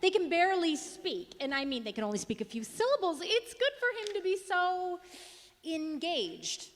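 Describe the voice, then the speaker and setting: An actress playing a patient, practical wife in a live radio comedy, placating and a little halting. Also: female voice, extremely high pitch, very animated. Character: patient, practical wife managing her eccentric husband. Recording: live stage in a reverberant hall.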